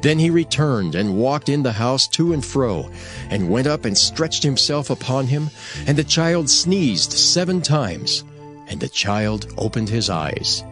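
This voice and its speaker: A man narrating dramatized scripture as a measured, solemn storyteller. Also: medium pitch, big pitch swings, fairly bright tone, faint music behind.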